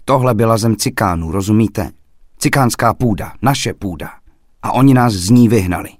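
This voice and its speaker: A man voicing a fierce old female fortune-teller who gives slow, insistent, ominous warnings. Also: fairly low pitch, darkish tone, no room sound, clean studio sound, natural voice. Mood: menacing. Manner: menacing, insistent warnings, drawn-out slow phrases.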